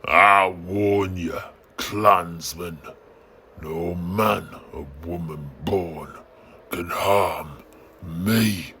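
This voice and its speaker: Man playing a slow, gravelly, menacing warrior king. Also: sits low, bright, forceful tone, a little hiss or hum, exaggerated voice. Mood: cold threat. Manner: slow, theatrical, threatening. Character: menacing, bloodthirsty warrior king, taunting his foes.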